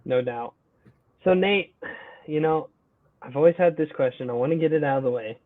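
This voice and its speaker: A man's voice: fairly high pitch, moderate pace, no room sound. A young man hosting a college sports podcast in a casual, upbeat way. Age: young adult. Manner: upbeat, energetic intro then relaxed. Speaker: podcast host. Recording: tinny phone-like line, dry.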